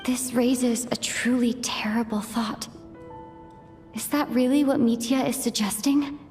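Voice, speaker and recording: An actress playing a thoughtful young woman in a video game cutscene, reasoning in a deliberate, analytical way. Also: on the high side, steady tone, a little mellow, faint music behind. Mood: troubled, pondering.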